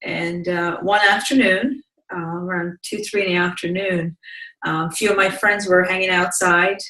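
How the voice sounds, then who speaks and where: Low-voiced woman, distant and roomy. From Bosnia. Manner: calm, measured storytelling with frequent restarts. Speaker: guest, motivational speaker. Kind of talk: personal storytelling in an interview.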